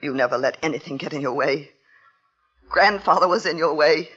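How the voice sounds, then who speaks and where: An actress playing a frightened, guilt-haunted young wife in a 1940s radio thriller, pleading anxiously and often repeating herself. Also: female voice, deep voice, moderate pace, a bit bright, husky. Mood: hurt, fearful. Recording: dull old radio recording with music.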